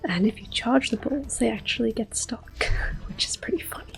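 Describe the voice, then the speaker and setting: A woman hosting soft-spoken ASMR gameplay commentary, whispering gently as she plays. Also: on the high side, moderate pace, gravelly, rough recording. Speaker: ASMR gaming host. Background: game music.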